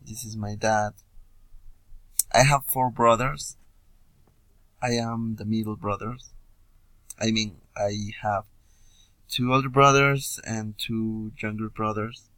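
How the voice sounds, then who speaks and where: A young man from Peru with a Peruvian Spanish accent, introducing himself informally as a student in hesitant learner English with frequent pauses. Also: on the low side, fairly even intonation, a bit bright, no room sound, poor audio. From Puno, Peru. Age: young adult. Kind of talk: informal self-introduction video.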